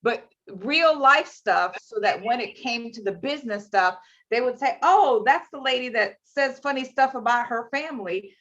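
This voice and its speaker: A woman's voice: a little high, expressive, moderate pace, fairly bright tone. Woman, friendly, chatty, sharing experience, video call, slightly muffled sound. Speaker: financial services agent.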